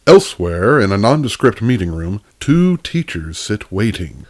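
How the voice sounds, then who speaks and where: A man playing the narrator of a superhero radio play, telling it in a measured, scripted storytelling style. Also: lowish voice, big pitch swings. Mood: dramatic narration.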